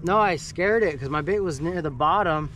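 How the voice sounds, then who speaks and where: A man's voice: on the high side, normal speed, noisy background. A man with a groggy voice, chatting casually as he fishes from a kayak out on the water. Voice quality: groggy morning voice. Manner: relaxed, upbeat, chatty. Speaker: fishing vlogger. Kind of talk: casual running commentary while fishing. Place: outdoors on a kayak at sea.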